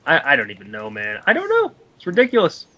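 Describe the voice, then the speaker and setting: A man with a fairly high voice talks through films as a co-commentator. His delivery is casual and rambling, and he argues his points. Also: huge pitch swings, quick pace, muffled recording.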